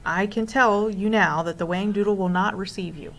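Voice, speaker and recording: A woman's voice: medium pitch, natural voice. Woman acting a stern, pompous towering male creature. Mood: brisk, firm. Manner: brisk, pompous scolding. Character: stern, snappish towering male creature, grudgingly soft-hearted.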